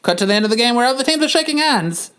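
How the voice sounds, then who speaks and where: A man's voice: very high voice, expressive, racing, fairly bright tone, clean tone. A man narrating stage directions aloud for an amateur comic reading on a podcast.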